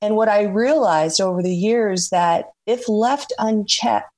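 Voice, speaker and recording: A woman's voice: medium pitch, unhurried, darkish tone. A middle-aged woman guesting on a faith-based radio interview, talking earnestly and reflectively with frequent fillers. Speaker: guest, former executive.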